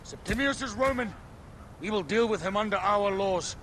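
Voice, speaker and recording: A man playing an urgent, commanding fighter of the Roman era in a video game, speaking forcefully. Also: high-pitched, moderate pace, slight background noise. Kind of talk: dramatic video game acting.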